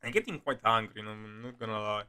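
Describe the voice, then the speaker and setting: A man's voice: on the low side, fast talker, bright, forceful tone, clean tone. A man streaming a game with casual live commentary, rambling, giggly and often repeating himself. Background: faint game music.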